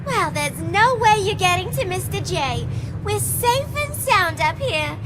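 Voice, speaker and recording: A woman's voice: very high voice, very animated, unhurried, background noise, exaggerated voice. A woman voicing a manic, menacing, taunting villainess henchwoman in a video game, with theatrical delivery. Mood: furious, taunting.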